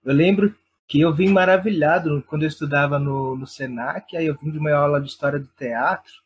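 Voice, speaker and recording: A man's voice: a little high, a little fast, a little hiss or hum. A man talking casually and enthusiastically as an interview guest, in an informal way. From Brazil. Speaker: guest, playwright and theatre director.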